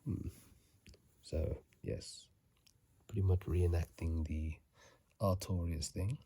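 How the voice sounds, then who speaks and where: A man whispering casual ASMR game commentary in a hushed, breathy voice, close to a phone microphone. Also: low-pitched, steady tone, takes it slowly. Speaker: ASMR video host.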